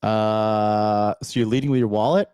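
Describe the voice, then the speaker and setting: A man's voice: fairly low pitch, fairly even intonation, unhurried, mellow, clear, resonant tone, no room sound. A man hosting a podcast, making sarcastic, crude comments as he reacts to a dating video. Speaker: podcast host reacting to a clip. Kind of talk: mocking commentary.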